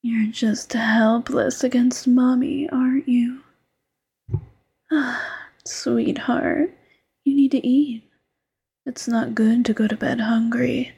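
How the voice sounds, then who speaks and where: A woman voicing a doting, teasing fox girlfriend who mothers her partner, in soft coaxing whispered roleplay. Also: on the high side, steady tone, slow pace, vocal fry, long pauses, breathy edge, heavily acted.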